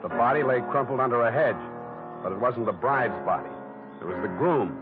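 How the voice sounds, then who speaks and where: An actor playing a wry, deadpan, hard-boiled private detective in a 1940s radio drama. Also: male voice, medium pitch, fairly quick, close to an everyday voice. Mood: wry narration. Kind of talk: 1940s radio acting with narration. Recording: tinny old radio recording, phone-like. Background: music.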